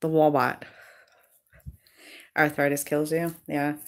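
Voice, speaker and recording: A woman with a low, deep-set voice and a Worcester, Massachusetts accent, rambling through a casual live-stream chat. She talks bluntly and swears freely. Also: flat delivery, takes it slowly. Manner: blunt, profane and chatty. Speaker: YouTuber hosting a live stream.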